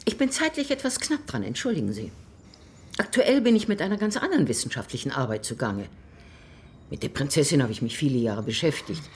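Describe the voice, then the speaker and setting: An actress playing a brusque, busy academic in a radio drama, speaking in curt, precise phrases with impatience and pride. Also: female voice, extremely low pitch, very animated, a little fast, a little hiss or hum, full character voice. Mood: impatient, dismissive. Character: brusque, busy female scholar, impatient and proud. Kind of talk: German radio drama dialogue.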